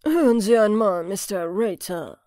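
A woman's voice: mid-range voice, lively intonation, measured pace, mellow, no room sound, clean studio sound, lightly acted. Woman putting on a haughty, indignant police major. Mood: condescending, reproachful. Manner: haughty, scolding, emphatic. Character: pompous, indignant male police major, condescending superior. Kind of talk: audiobook character voice.

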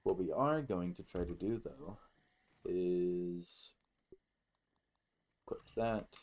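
A man giving casual, halting gameplay commentary as host, with some offhand swearing. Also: low-pitched, a little mellow. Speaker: gaming video host.

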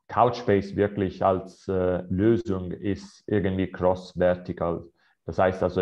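A man's voice: deep voice, a little mellow. A man in his thirties with an Italian accent presenting a webinar in German on a video call, hesitant with many filler sounds. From Italy. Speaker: account manager presenting a webinar. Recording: slightly dull video-call audio.

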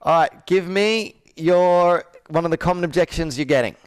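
A man's voice: on the high side, moderate pace, clear, resonant tone, poor audio. A man in his thirties coaching a sales roleplay on a video call, asking probing questions and repeating answers back. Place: indoor room with whiteboard, on a video call.

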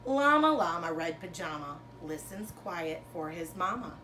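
A woman in her thirties, a teacher reading a children's storybook aloud to pupils with expressive, sing-song phrasing and emphasized words. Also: fairly low pitch, measured pace, noisy background. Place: bedroom, indoors. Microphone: camera a couple of metres away.

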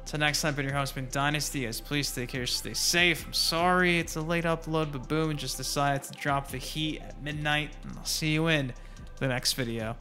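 A man's voice: fairly high pitch, fairly even intonation, moderate pace, pushed and bright. A man hosting a gaming channel, giving informal, rambling commentary on a gameplay trailer and talking straight to viewers. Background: music.

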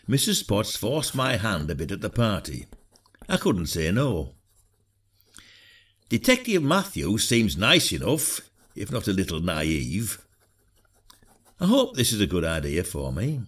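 An older village detective in an audio drama. His voice is low and husky, with very animated intonation. He is dry, wry and modest, a reluctant sleuth. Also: full character voice. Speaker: lead actor. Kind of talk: podcast drama acting.

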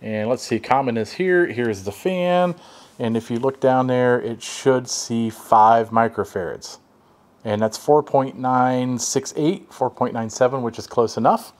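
A man's voice: mid-range voice, a little slow, darkish tone. A man from the United States with an American accent gives a conversational, explanatory hands-on tool review in a workshop. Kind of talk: hands-on product review and demonstration. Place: home workshop at a workbench.

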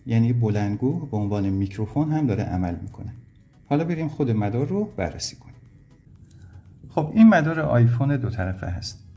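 A man's voice: medium pitch, big pitch swings, warm tone, husky. A man from Iran explaining step by step as an electronics instructor, in a plain, methodical way. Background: background music.

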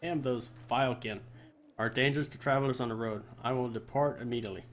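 A man's voice: medium pitch, fast talker, close to an everyday voice. A man with a cold reading the lines of a dutiful, obedient soldier in a video game, formal and stumbling. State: has a cold. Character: dutiful male soldier, gruff about enemies, obedient. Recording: game music behind the voice.

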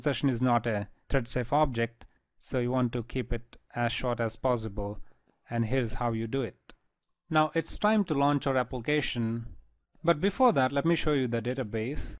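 Male tutor for a screencast lesson; steady, patient explainer, dry recording. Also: medium pitch, no room sound. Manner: calm step-by-step explaining. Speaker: programming instructor.

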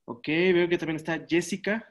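A man's voice: fairly high pitch, steady tone. Man hosting a video call roll call. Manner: brisk, calling names and thanking each. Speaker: session host. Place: small home room, indoors. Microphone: laptop webcam on video call.

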